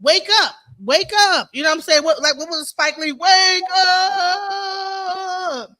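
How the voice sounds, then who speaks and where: A woman in her forties talking bluntly and profanely in a loud, mocking way during a casual discussion. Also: extremely high pitch, fairly even intonation, slow pace, no room sound, clean studio sound. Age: 40s.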